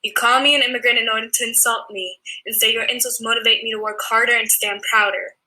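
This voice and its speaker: A woman's voice: on the high side, fairly even intonation, fast, bright, forceful tone, poor audio. A teenage girl reciting a spoken word poem in a defiant, declamatory way. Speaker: student poet.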